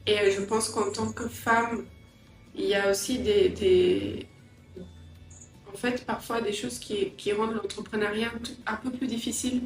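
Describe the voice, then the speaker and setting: A middle-aged woman from Angola and England giving a personal interview, reflective and hesitant, often pausing mid-sentence. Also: medium pitch, fairly even intonation. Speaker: interviewee, entrepreneur and language teacher. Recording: roomy echo, distant mic, faint music.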